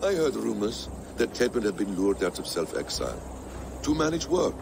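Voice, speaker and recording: An actor playing a cool, sly and menacing assassin in a video game, speaking in a deliberate, guarded, smooth way. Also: male voice, on the low side, very animated, noisy background. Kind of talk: video game cutscene acting.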